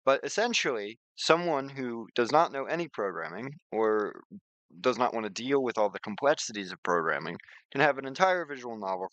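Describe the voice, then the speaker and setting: Man with gravelly, lively-pitched voice giving demo. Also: no echo. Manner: rambling, repeats phrases, thinks aloud. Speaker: software tutorial presenter. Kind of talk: informal screen-share software demonstration.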